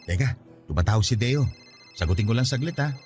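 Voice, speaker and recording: A man's voice: mid-range voice, animated, quick pace, over music, close to an everyday voice. A man playing a breezy thirty-something boyfriend. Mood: cheerful. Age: 30s. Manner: breezy, upbeat, defensive when pressed. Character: cheerful man in his mid-thirties, the boyfriend. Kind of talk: radio drama acting.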